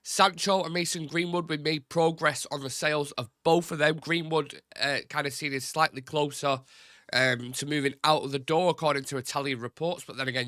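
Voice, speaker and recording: A man's voice: fairly high pitch, bright, forceful tone, no echo, studio quality. A young man with a blocked, nasal voice, hosting a casual football live-stream chat. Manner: rambling, chatty, informal. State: congested, blocked nose. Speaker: football channel host.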